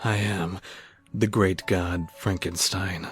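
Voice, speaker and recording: A man's voice: low voice, fairly even intonation, takes it slowly, slightly rough, soft background music, full character voice. A man playing an obsessed, arrogant scientist in a grandiose, theatrical amateur audio drama. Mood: anguished. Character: obsessed, arrogant scientist who creates a monster.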